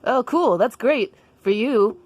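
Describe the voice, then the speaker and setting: A woman's voice: fairly high pitch, animated, slow pace, darkish tone, no room sound, voice pushed up. A woman voicing a timid, earnest schoolboy in a comic story, hesitant and wide-eyed. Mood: awkward, curious. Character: timid, earnest, church-bound boy, school age.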